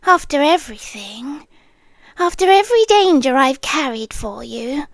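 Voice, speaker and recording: A British woman voicing a possessive female guardian angel in an ASMR roleplay, half-whispering softly and coaxingly into a close microphone. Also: high-pitched, no echo, full character voice. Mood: wounded, possessive. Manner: soft, intimate half-whispering; calm, coaxing and slow.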